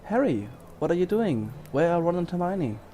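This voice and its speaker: A man playing a kindly, mild wizard professor in an audio drama, gentle and thoughtful in manner. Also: sits high, sing-song, moderate pace, slight background noise. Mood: friendly, curious. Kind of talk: fan audio drama acting.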